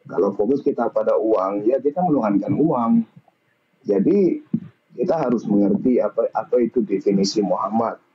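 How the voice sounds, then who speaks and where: A man's voice: lowish voice, husky. A man from Malaysia explaining his views in an informal religious discussion, in an agreeable, reflective way. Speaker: discussion participant. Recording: dull lo-fi call-like audio.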